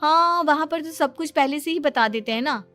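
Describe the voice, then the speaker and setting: Woman as proud young daughter-in-law in a family drama; high, confident, boastful delivery over light music. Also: moderate pace, fairly bright tone. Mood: self-assured. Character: self-assured, know-it-all young pregnant daughter-in-law. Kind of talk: acted Hindi family story.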